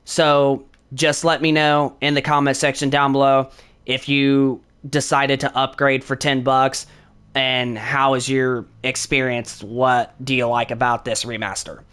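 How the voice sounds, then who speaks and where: A man with a slightly hoarse voice from a sore throat, hosting his channel with a casual, rambling game review that leans on "honestly" and "definitely". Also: medium pitch, level tone, measured pace, fairly bright tone.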